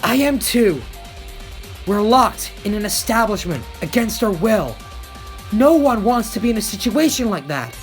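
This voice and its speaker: An earnest, protective teenage boy hero acted out by an amateur for anime game voice lines. The delivery is emphatic and dramatic, with pitch that moves around a lot. Faint music plays underneath. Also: very animated, a little slow. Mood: earnest, urgent.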